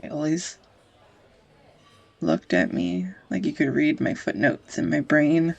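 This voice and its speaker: A young woman acting a tender, easily flustered sweetheart in an intimate romantic audio roleplay, with a husky, breathy, hesitant voice. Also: deep voice, lively intonation, slow, dull audio, heavily acted. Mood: vulnerable, fond. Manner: hesitant, confessional, breaking into whispers.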